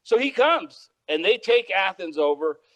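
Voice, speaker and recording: A man lecturing on history with a loud, projecting voice, often checking in with "all right?". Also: high-pitched, sing-song, a little slow. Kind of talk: public lecture. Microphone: microphone.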